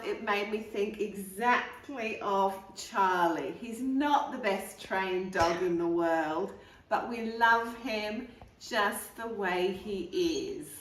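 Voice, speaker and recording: A woman hosting storytime, reading a picture book aloud in an expressive way, stretching key words for young listeners. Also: medium pitch. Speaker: storytime host reading a picture book to viewers and her dog. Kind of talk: animated children's story reading. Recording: roomy echo, distant mic.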